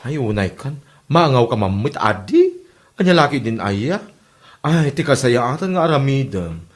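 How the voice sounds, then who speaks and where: A man's voice: medium pitch, moderate pace, natural voice. A man playing a blunt, scoffing confidant in an Ilocano comedy drama, exclaiming as he advises, with an Ilocano accent. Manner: animated, exclaiming.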